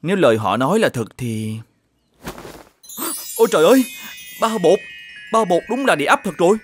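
An actor playing a good-natured, simple peasant farmer in an animated fairy tale, earnest and polite. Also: male voice, high-pitched, normal speed, slight background noise. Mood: amazed. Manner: earnest, polite, slightly naive. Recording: music behind the voice.

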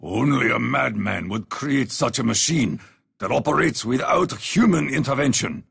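An actor playing a battling soldier with a husky, gravelly voice in a video game. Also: male voice, mid-range voice, expressive, moderate pace, fairly bright tone, heavily acted. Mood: grim, urgent. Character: male soldier fighting giant war machines. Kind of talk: scripted video game character lines.

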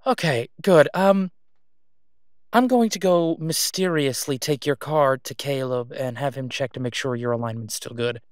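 A devoted, reassuring boyfriend, played by a man in an intimate audio roleplay. He talks softly and conversationally in a mid-pitched male voice, halting as he picks his words. The sound is dry, with no room around it. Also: no echo, close to an everyday voice. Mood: awkward, amused.

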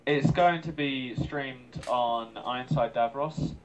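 A man making casual announcements as a guest streamer, speaking in a halting, deliberate way. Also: medium pitch, slight background noise. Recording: dull lo-fi remote voice audio.

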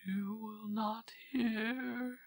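A man voicing an anguished French mother who pleads in slow, breathy, strained whispers. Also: a little high, darkish tone, dry recording, pitched above the performer's own voice, exaggerated voice. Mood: desperate pleading.

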